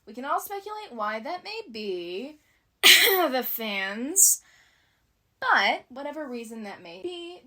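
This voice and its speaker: High-pitched, lively woman video essayist. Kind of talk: scripted character analysis commentary with casual asides.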